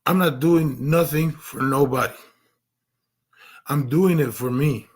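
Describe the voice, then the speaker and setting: A hoarse man losing his voice, talking earnestly on a stream with lots of restarts and fillers. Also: a little high, a little slow. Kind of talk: casual livestream monologue.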